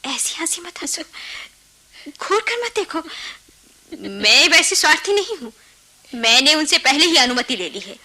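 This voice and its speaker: An actress playing a young bride, an outspoken and pleading younger sister, in a Hindi radio play. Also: female voice, high voice, sing-song, a little slow, pauses often, sharp tone, heavily acted. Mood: hurt, defiant.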